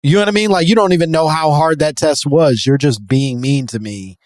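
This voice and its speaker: A man's voice: a little high, brisk, no room sound, clean studio sound. A man hosting a podcast in casual comedic chat, riffing with a joking, profane manner. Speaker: podcast host.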